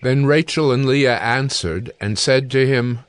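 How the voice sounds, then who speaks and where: A man narrating an audio Bible in a measured, storytelling manner. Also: medium pitch, clean tone, dry recording.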